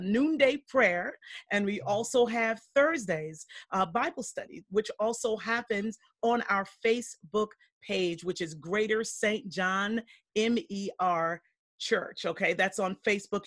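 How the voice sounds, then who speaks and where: A woman's voice: mid-range voice, dry recording, clean studio sound. Woman hosting a video-call church interview. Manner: warm, enthusiastic, frequent affirmations. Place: home room with teal wall.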